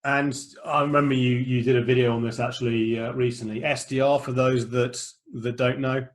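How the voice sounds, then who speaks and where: A man's voice: medium pitch, little pitch movement, a little fast. A middle-aged man hosting a podcast from home over a remote call, talking in a loose, rambling way with lots of fillers and restarts. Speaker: podcast host. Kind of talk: casual podcast interview.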